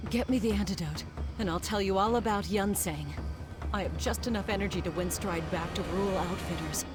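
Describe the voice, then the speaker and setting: A woman's voice: medium pitch, close to an everyday voice. An actress playing a wounded, poisoned young woman in a game cutscene, curt and weakened, with score behind. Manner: curt, prickly.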